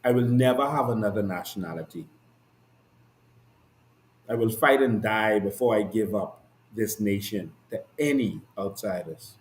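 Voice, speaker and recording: A man's voice: lowish voice, echoey room, a little hiss or hum. A Bahamian man giving passionate, rhetorical political commentary with emphatic repeated declarations. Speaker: host and activist.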